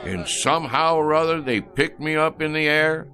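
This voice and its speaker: A man's voice: on the high side, normal speed, sharp tone, a faint crowd. An actor playing a folksy rural American tall-tale teller in his sixties, drawling and rambling in a radio drama. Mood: earnest yarning. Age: 60s. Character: folksy sixty-three-year-old tall-tale-telling rural man.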